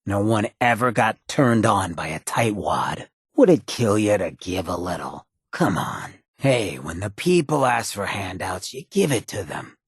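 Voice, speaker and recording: An actor voicing a cocky, roguish, streetwise ghoul companion in a video game, with a very raspy, gravelly voice and a sly, laid-back swagger. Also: male voice, lowish voice, big pitch swings, a little slow, few pauses, dry recording, studio quality, full character voice. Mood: cocky, coaxing.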